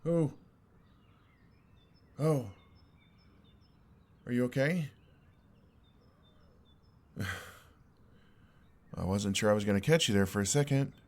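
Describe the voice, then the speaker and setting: A young man playing an exasperated, earnest and oblivious best friend in an intimate audio roleplay, speaking in a halting, conversational way. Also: mid-range voice, lively intonation, darkish tone. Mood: strained, concerned.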